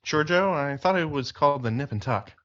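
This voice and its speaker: An easygoing police detective partner, played by an actor who cracks jokes during interviews. His mid-pitched voice comes through slightly muffled. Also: expressive, a little fast, a bit bright. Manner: easygoing, joking.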